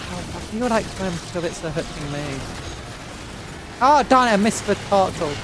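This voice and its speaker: A woman's voice: lowish voice, background noise. A British woman giving casual, playful, chatty gameplay commentary as a streamer. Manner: playful, chatty, talking to the game. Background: game audio and music.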